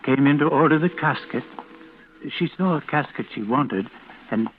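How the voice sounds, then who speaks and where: An actor playing a formal, musing undertaker with hesitant, old-fashioned phrasing, in 1940s radio drama style. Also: male voice, mid-range voice, animated, moderate pace, lightly acted. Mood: musing, grave. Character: musing, formal undertaker telling an eerie tale. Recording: tinny, noisy old radio recording.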